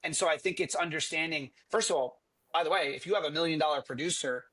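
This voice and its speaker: A man's voice: on the high side, quick pace, fairly bright tone, husky. A middle-aged man, a company chief executive, answering on a business panel in an earnest, conversational way with frequent fillers and restarts. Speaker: franchise company CEO.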